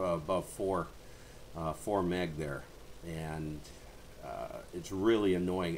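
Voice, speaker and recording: A man chatting casually over amateur radio as a livestream host, in a folksy, rambling way. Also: low-pitched. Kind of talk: casual ham radio chat. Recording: noisy amateur radio channel, background hiss.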